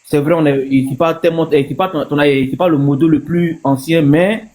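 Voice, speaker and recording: A man's voice: on the high side, fairly even intonation, fairly quick, darkish tone. A man from Ivory Coast with an Ivorian accent, giving his opinion casually as a panel guest on a live stream, repeating himself for emphasis.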